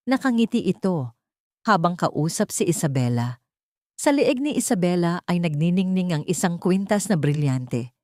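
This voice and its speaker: A woman's voice: sits low, darkish tone, no echo, clean studio sound. A woman narrating a scripted audio drama story in a solemn, melodramatic manner.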